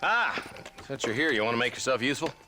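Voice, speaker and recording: A man playing a gruff, no-nonsense frontier lawman with a blunt, folksy manner in a western game. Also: mid-range voice, lively intonation, fast, very noisy, lightly acted. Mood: gruff, urgent. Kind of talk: video game character acting.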